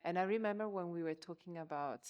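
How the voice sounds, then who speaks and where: A woman's voice: lowish voice, fast talker, muffled recording. A woman acting as curator and interviewer at a public talk, carefully searching for her words. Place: museum exhibition space.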